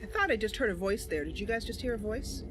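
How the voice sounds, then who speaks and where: A woman's voice: medium pitch, animated, fast talker, over music. A woman hosting a gaming channel, giving casual, chatty commentary to viewers as she plays. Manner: chatty, talking to viewers while playing.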